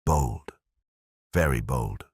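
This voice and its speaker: A man voicing a heavyset older detective genius, grave, pompous and deliberate, in an audio mystery story. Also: extremely low pitch, expressive, a little mellow, husky, no room sound, studio quality, full character voice. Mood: grave, decisive.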